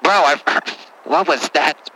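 A man's voice: on the high side, unhurried, pushed and bright, low-quality recording. Man doing a brash, swaggering bro. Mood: defensive, brash. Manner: crude, boastful, swaggering, slangy. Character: brash foul-mouthed East Coast party bro. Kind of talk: comic in-character storytelling.

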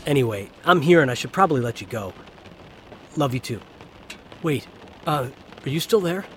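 Male actor as jittery young courier on a call, swooping intonation, chatty, naturalistic radio play, clear road noise. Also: mid-range voice, animated, close to an everyday voice. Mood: uneasy. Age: young adult. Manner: halting, nervous, conversational. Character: anxious, chatty young delivery driver on the phone. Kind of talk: naturalistic audio drama phone-call acting. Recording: clear vehicle and outdoor ambience noise.

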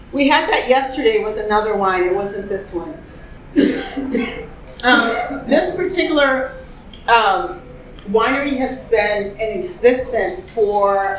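An enthusiastic woman leads a wine tasting, chatting and asking the group what they notice. Her voice sits a touch high. The room sounds echoey, with a faint hum behind. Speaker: host leading a wine tasting. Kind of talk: casual tasting chat.

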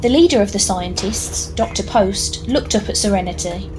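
A woman narrating a scripted audio drama in a measured storytelling manner. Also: medium pitch, over music, rough recording. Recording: clear background noise, ambience.

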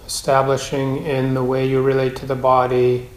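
A man teaching a guided meditation in a measured, gentle way, with long pauses between phrases. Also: medium pitch, completely flat delivery. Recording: roomy, echoing space.

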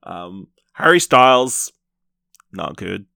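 A man hosting a podcast, casually reviewing films in a rambling, halting way with repeated false starts. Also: low-pitched, big pitch swings, gravelly, bright, forceful tone, no room sound, studio quality. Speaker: podcast host. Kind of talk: casual film review chat.

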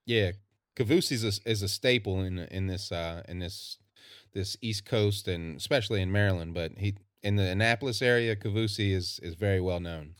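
An easygoing man chatting as a guest on a podcast. His voice is low and dry-sounding, with no room echo, and he tells his stories loosely, stretching out his "like"s and "um"s. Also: clear, resonant tone. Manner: easygoing storyteller, drawn-out fillers. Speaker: musician guest. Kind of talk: casual interview chat.

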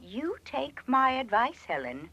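Radio drama: insistent elderly aunt, slow and animated delivery. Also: female voice, high-pitched, breathy edge, no room sound, heavily acted. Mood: anxious warning. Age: older.

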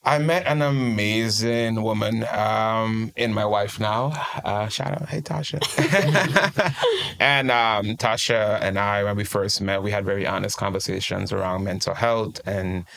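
A man's voice: on the low side, unhurried, creaky, fairly bright tone. A man, speaking as a guest life coach in a casual interview and telling his story in a reflective, open way. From Trinidad and Tobago.

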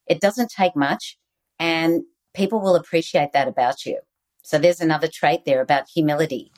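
An Australian woman, a guest author on leadership, giving earnest, animated interview answers over a video call. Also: sits low, moderate pace.

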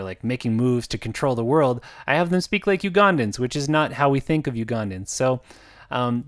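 A man from the United States, an author hosting his own podcast, talking in a chatty, reflective way with fillers and soft laughs. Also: medium pitch. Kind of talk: casual podcast monologue.

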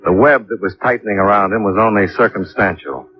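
An actor playing a cool, persistent investigator in 1950s radio detective drama, terse, matter-of-fact and probing. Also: male voice, on the low side, fairly quick, natural voice. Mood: matter-of-fact narration. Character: cool, persistent male investigator narrating his case. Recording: dull old radio recording with music.

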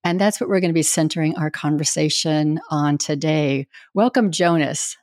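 Low-voiced woman podcast host, dry sound. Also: normal speed, a little mellow, no room sound. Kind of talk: scripted intro then casual interview. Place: home in Wisconsin, USA.

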